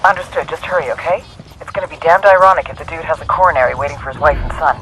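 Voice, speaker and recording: An actor playing a breezy intelligence contact, giving informal, slangy briefings to soldiers in a video game. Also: male voice, a little high, very fast, pushed and bright, poor audio. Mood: impatient, wry.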